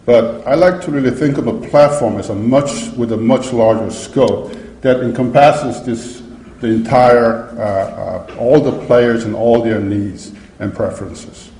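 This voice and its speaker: A man gives a seminar presentation in a mellow, relaxed voice that carries through a roomy hall. He speaks in a halting way, with frequent fillers and restarts as he works through his points. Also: medium pitch, a little hiss or hum. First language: English. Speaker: academic conference speaker. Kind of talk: conference presentation. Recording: echoey lecture hall.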